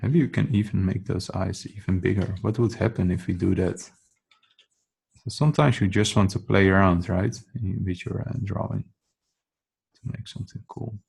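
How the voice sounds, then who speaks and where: A man's voice: sits low, moderate pace, creaky, a little mellow, breathy edge. A man with a Dutch accent, a non-native English speaker, thinking aloud while he sketches in a casual drawing tutorial. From Netherlands.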